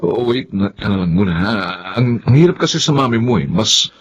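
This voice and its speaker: A man's voice: medium pitch, very animated, a little fast, husky. A man playing a firm, insistent, controlling father in a Filipino radio drama. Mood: placating. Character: stern, stubborn young father, controlling and defensive.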